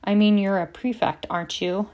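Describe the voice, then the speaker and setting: A woman's voice: mid-range voice, fairly even intonation, normal speed, darkish tone, close to an everyday voice. Wry teen boy voiced by a woman narrator, understated, audiobook. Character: teenage schoolboy, wry. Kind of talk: audiobook character reading.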